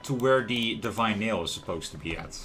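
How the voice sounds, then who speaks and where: A man's voice: lowish voice, animated, brisk. A man giving casual, rambling gameplay commentary as a livestream host, chatting to viewers as he goes. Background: lo-fi music and game sound.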